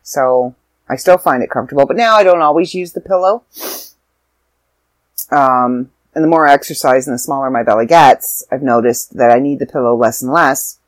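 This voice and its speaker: A woman's voice: very deep voice, normal speed, no echo. A young woman chats to her camera at home in a loose, rambling way, often stopping mid-thought and restarting. She sounds tired and stuffed up, with a touch of a sore throat. The sound is close and dry, with hardly any room around her. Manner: rambling, self-interrupting. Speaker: vlogger. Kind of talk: casual chat to camera.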